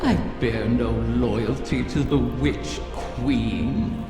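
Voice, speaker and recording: An actress playing an ancient female dragon in a video game, sly, taunting and menacing, with a slow mocking delivery in heavy cavernous reverb. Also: extremely low pitch, full character voice. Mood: cold, menacing. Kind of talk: theatrical video game villain acting. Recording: long echoing reverb, music behind.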